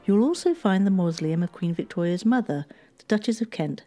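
A woman giving scripted history narration in a measured, gently storytelling way. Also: medium pitch, animated, faint music behind.

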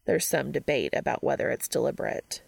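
A woman voicing an earnest, reserved male professor in a story reading, measured and formal. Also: a bass voice, vocal fry, darkish tone, husky, dry recording, pitched below the performer's own voice, heavily acted.

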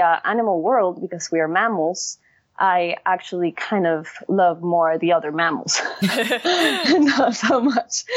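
A woman with a Venezuelan Spanish accent whose speaking voice sits low. She talks hesitantly, full of fillers and restarts. The sound is dry and dull, like a lo-fi remote recording. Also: dry recording. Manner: hesitant, many fillers and restarts, laughs easily. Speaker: company founder and guest. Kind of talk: conversational interview answers.

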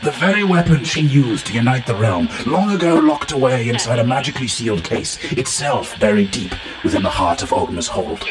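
A man's voice: mid-range voice, lively intonation, brisk, hardly any pauses, over music, natural voice, poor audio. A man voicing a pompous, bumbling professor who rambles in flowery speech with blunt asides, in a comic fantasy game. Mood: grandiose. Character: pompous, bumbling, long-titled male professor of adventure lore. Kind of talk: comic in-character monologue as a recorded message.

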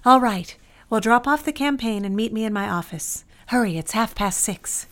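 An actress playing a composed, worldly married office friend in a period drama, poised and big-sisterly. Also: female voice, on the high side, expressive, moderate pace, slight background noise, natural voice. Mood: brisk, reassuring. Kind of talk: period radio drama acting.

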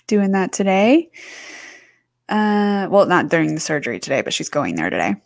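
A woman in her twenties co-hosting a podcast, chatting casually with lots of fillers and quick bursts of speech. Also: fairly low pitch, big pitch swings, creaky, dry recording, studio quality. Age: 20s. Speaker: podcast co-host. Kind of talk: casual chat.

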